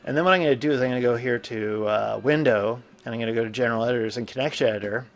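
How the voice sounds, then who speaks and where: Gravelly man with a stuffy cold. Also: medium pitch, very fast. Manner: casual, thinking aloud with frequent fillers. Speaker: 3D animation instructor. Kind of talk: screen-recorded software tutorial.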